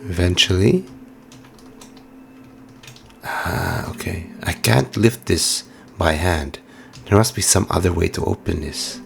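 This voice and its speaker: A hoarse man giving casual, musing let's-play commentary with plenty of fillers while he plays. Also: low-pitched, slow pace, long pauses, slight background noise. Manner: musing, chatty, many fillers. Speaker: game streamer.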